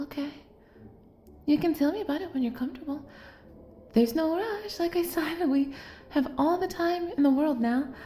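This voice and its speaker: A woman's voice: high-pitched, reverberant, a little hiss or hum. A woman playing an obsessive, lovestruck kidnapper in an intimate audio roleplay, doting and possessive, coaxing the listener with hesitant asides. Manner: soft, coaxing, hesitant asides, drops into whispers.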